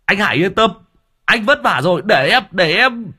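A man doing a squeaky, stammering clumsy lackey. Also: moderate pace. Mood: boastful. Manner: stammering, whiny, high and excited. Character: clumsy timid young man, stammering helper.

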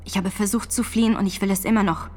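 A woman's voice: mid-range voice, fairly even intonation, fast talker, a little mellow, natural voice. An actress in a radio drama playing a devout, defiant nineteen-year-old peasant woman on trial, steadfast and plain-spoken in manner. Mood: quietly defiant.

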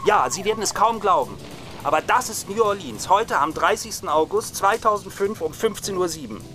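A man's voice: high-pitched, animated, moderate pace, over music. A man acting in a German radio drama as a cynical, hot-tempered radio reporter in his thirties, pompous and given to coarse cursing. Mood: pompous, dramatic. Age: 30s.

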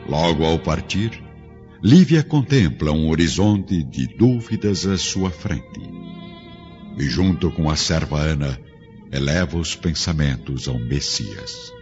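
A man's voice: extremely low pitch, wildly swooping pitch, takes it slowly, darkish tone. A man delivering scripted radio drama narration in a solemn, literary manner. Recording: muffled audio under music.

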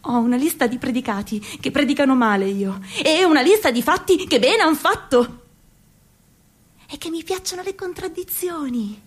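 A young woman performing a theatrical monologue for a radio documentary. She is slow and dramatic, drops to whispers and breaks into sudden cries. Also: high-pitched, lively intonation. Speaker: narrator and performer.

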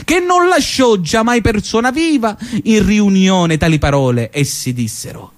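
Un attore fa uno speaker radiofonico beffardo e cantilenante. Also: male voice, high voice, expressive, unhurried. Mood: mocking. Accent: Sicilian. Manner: mocking, satirical, sing-song announcer. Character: sardonic young male radio announcer mocking local bosses. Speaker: actor playing a pirate-radio host. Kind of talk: theatrical radio monologue. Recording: theatre stage recording.

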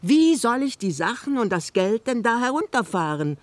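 A woman's voice: fairly high pitch, very animated, moderate pace, slight background noise. An actress playing an eccentric, capricious elderly mother in a German radio play, with a grand, blunt, theatrical manner. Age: older.

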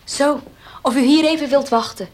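High-pitched, pert young maid, played by a woman. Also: normal speed, close to an everyday voice. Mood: polite. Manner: polite but saucy. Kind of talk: Dutch radio play acting. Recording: faintly hissy old radio play.

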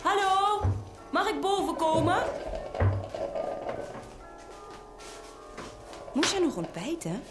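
An actress playing a hurt, insistent jilted lover in a naturalistic radio drama. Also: female voice, extremely high pitch, very animated, moderate pace, over loud music. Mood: brisk.

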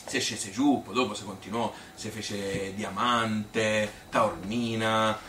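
A chatty working-class Tuscan woman, played by a man in a low voice, reminisces about married life in a rambling, colloquial stage monologue. The delivery halts and repeats like spontaneous storytelling. It is recorded from a distance in an echoey room with clear background noise. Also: fairly even intonation, pushed and bright. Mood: reminiscing. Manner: rambling, colloquial, halting with repetitions. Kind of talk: spontaneous-sounding theatrical monologue. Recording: roomy, distant, noisy live recording.

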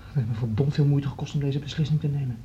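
A man's voice: fairly even intonation, fast, warm tone, lightly acted. An actor playing a weary, dutiful male orchestra conductor, hesitant, subdued and earnest, in a radio drama. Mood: regretful, resigned. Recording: muffled lo-fi recording, faint rumbling noise.

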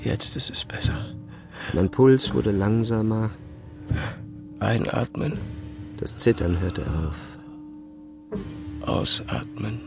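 Slow, gravelly male audiobook narrator. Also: lowish voice, lively intonation, over music. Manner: measured delivery with short, halting phrases and hushed asides. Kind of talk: dramatic literary audiobook narration.

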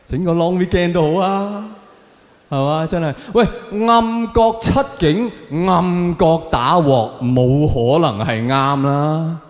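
Higher-voiced Hong Kong Cantonese stand-up man, echoey hall. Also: slow pace, faint music behind. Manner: sardonic, theatrical, with rhetorical questions. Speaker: stand-up comedian. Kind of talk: stand-up comedy monologue to a live audience. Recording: echoey hall with long reverb.

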